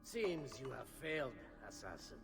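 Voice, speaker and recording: Smug villain, swooping pitch. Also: male voice, very animated, moderate pace, gravelly, music in the background. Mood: smug, cold. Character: smug, treacherous male Venetian conspirator, coldly mocking. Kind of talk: video game cutscene acting.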